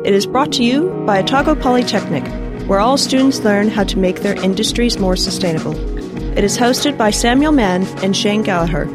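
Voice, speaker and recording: Lady announcer reading a radio promo, polished, music pumping loud underneath. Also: mid-range voice, expressive, fairly quick. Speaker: station announcer. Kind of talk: scripted promo read.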